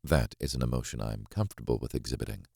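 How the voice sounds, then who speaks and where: A man narrating a thriller audiobook in the first person, in a measured, brooding and dramatic way. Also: rumbling low, creaky, a little mellow, no room sound, clean studio sound.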